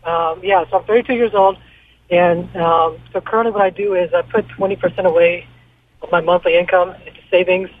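A man's voice: high voice, steady tone, a little fast, no echo. Hesitant young man on a tinny phone line. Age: 30s. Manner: hesitant, many fillers. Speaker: caller. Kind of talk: phone-in question. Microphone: telephone.

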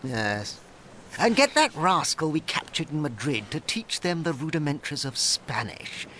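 A haughty slave-trading ship captain in a radio drama, with a raised pitch and sweeping intonation. He speaks with slow, condescending emphasis over noisy ambience. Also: sing-song, pushed and bright. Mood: impatient, scornful.

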